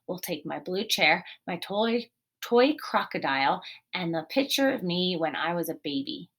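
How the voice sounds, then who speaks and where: A woman voicing a sulky, jealous young boy in a storybook, drawing out grumbles and moving between mutters, whispers and shouts. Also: fairly low pitch, lively intonation, a little slow, husky, exaggerated voice. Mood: determined.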